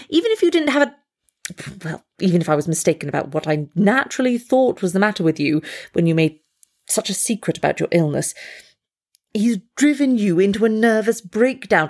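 A woman's voice: mid-range voice, darkish tone, voice pushed down. A woman acting a fussy, meddling society lady who gushes and stammers theatrically. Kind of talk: dramatic reading of a stage monologue.

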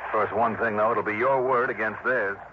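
An actor playing a brisk, probing American private investigator in a vintage radio drama, clipped and urgent. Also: male voice, on the low side, fairly even intonation, noisy background, natural voice. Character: brisk, probing male private investigator narrating his case. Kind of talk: 1950s-60s American radio drama acting. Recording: muffled vintage radio broadcast with loud music.

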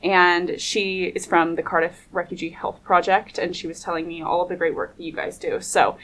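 A woman's voice: on the low side, little pitch movement, normal speed, a bit bright, rough recording. A young woman hosting a student radio interview in a studio, upbeat and laughing often. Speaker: radio host.

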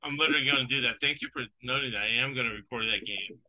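A man with a sharp, forceful, tense voice talks through sports bets in a loose, rambling way, wandering off topic and chuckling as he goes. English is his second language, and it shows now and then. The tone stays chatty and unscripted. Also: mid-range voice, rapid-fire, rough recording. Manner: rambling, digressive, laughs between points. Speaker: sports betting podcast host. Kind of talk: casual sports betting chat.

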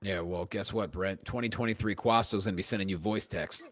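A man's voice: low voice, fairly quick, dry recording, studio quality. A man in casual comedic banter as a podcast co-host, teasing and swearing freely. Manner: profane, teasing.

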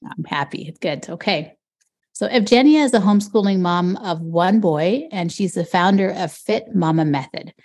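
A woman speaks as the host of an interview podcast. Her voice sits a little lower than most women's, and she talks as someone presenting a guest and putting questions to them. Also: normal speed, darkish tone.

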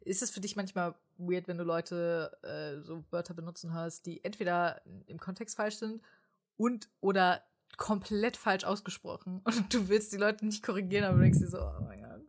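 A woman's voice: fairly low pitch, steady tone, moderate pace. A young woman hosting a casual interview, talking animatedly and mixing English words and the odd swear into her speech. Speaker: host. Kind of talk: casual chat.

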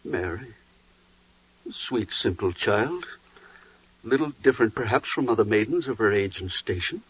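A man's voice: on the low side, expressive, measured pace, fairly bright tone, slightly rough, heavily acted. An actor playing a blustering, bewildered father of a young maiden in 1940s radio drama style. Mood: awed, doubtful. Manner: agitated, exclamatory, bewildered. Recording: dull, muffled 1940s radio recording.

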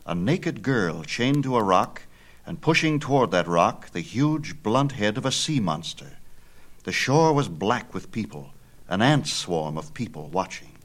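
Male narrator, lowish register, dramatic and declamatory, reading myth in measured phrases. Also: very animated. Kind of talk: dramatic scripted storytelling of myth.

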